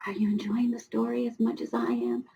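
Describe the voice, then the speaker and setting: A woman, middle-aged, reading a storybook aloud to children with expressive, encouraging asides spoken directly to her young listeners. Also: a little high, fairly quick, creaky, dull audio. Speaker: storybook reader for schoolchildren.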